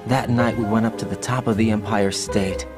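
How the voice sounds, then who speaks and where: A man's voice: on the low side, fairly even intonation, moderate pace, over loud music, lightly acted. A man voicing an earnest, questioning young special forces agent in a video game. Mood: reflective. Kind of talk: video game voice acting over radio call.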